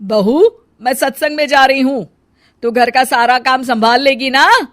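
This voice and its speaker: A middle-aged village mother-in-law from a cartoon tale, played by a woman. She is bossy and suspicious, and speaks in a fairly high voice. Her talk is made of orders and nagging. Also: fairly bright tone. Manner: brisk orders, scolding, nagging. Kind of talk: Hindi cartoon story dialogue.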